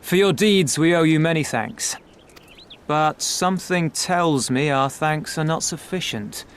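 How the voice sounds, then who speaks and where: An actor playing a suspicious, sardonic pirate in a video game, speaking in ornate, formal, mocking phrases. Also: male voice, on the high side, unhurried, slight background noise, lightly acted. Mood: wry, mocking. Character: sardonic, suspicious male pirate confederate of middle rank. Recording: loud background music.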